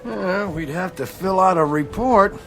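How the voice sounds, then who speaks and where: A man's voice: sits high, a little slow, a bit bright, soft background music. An actor in a radio drama playing an easygoing, mildly sympathetic policeman who talks in a folksy, placating way. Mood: reasoning.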